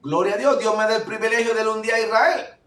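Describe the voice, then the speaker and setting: A male pastor from Puerto Rico with a Puerto Rican accent, preaching a fervent sermon that swings between loud declarations and low asides. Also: steady tone, quick pace. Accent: Puerto Rican Spanish. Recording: echoey room, voice from far off mic.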